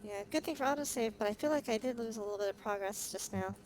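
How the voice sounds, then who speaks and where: A woman's voice: medium pitch, fast talker, darkish tone. A woman livestreaming a game, chatting casually and a little hesitantly to her viewers. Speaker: livestreamer, vtuber. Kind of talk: casual livestream chat while gaming. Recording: clearly noisy, humming background.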